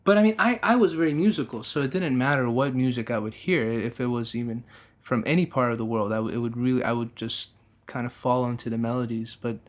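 A man's voice: medium pitch, fast talker. A young man from the United States, a guest musician, speaking in a casual interview with a thoughtful manner and frequent restarts.

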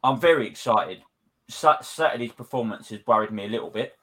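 A man's voice: medium pitch, a little fast, fairly bright tone. A man hosting a casual football discussion, speaking emphatically and repeating his phrases for effect. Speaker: podcast host leading discussion.

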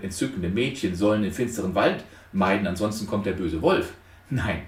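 A man giving an explanatory lecture with storytelling, speaking deliberately with dramatic emphasis. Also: on the low side, a little mellow. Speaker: lecturer on psychotherapy. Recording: roomy echo, distant microphone.

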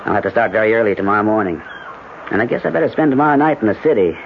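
A man's voice: fairly low pitch, expressive, rapid-fire, creaky. A man playing a placating husband and busy office man in a radio drama. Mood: apologetic. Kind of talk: 1970s radio drama acting. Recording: muffled, faintly noisy vintage radio recording.

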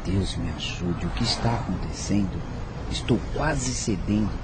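A man's voice: sits low, measured pace, background noise. An actor playing a conflicted married man, romantic yet anxious, in a Brazilian radio soap with a Brazilian accent. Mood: anxious, torn.

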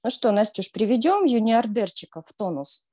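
A woman's voice: fairly high pitch, sing-song, normal speed, warm tone. Teasing woman quiz host. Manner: teasing, upbeat presenter. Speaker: radio co-host, quiz presenter. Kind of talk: hosting a quiz game.

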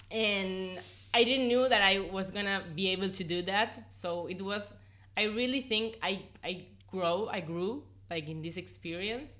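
Bright-voiced young woman, earnest and informal. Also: medium pitch. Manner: earnest, informal, frequent "like" and "you know". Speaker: podcast guest, former volunteer. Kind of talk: casual personal storytelling in an interview.

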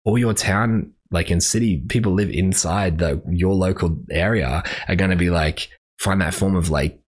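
An Australian man with a deep, husky voice talks solo to his listeners in a loose, rambling way. He keeps stopping to correct himself and fills gaps with ums and likes, in a room with a bookshelf. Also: normal speed. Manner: rambling, self-correcting, with frequent fillers. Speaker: podcast host. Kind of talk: casual solo advice talk.

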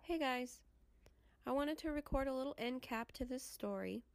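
Stuffy-voiced woman with a gravelly edge. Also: high-pitched, normal speed, no echo. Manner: earnest, prayerful. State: stuffy from a cold. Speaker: podcast host. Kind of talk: closing remarks and spoken prayer.

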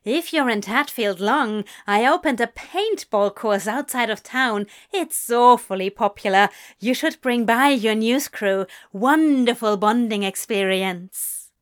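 A woman voicing a gushing, falsely cheerful woman who is loud, pushy and overly bright. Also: fairly high pitch, unhurried, few pauses, close to an everyday voice.

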